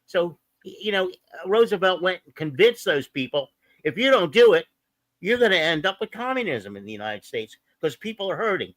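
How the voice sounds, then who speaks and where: High-voiced man, insistent and halting. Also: sing-song, a bit bright, no echo, poor audio. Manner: insistent, halting, repeats words. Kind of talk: casual political discussion.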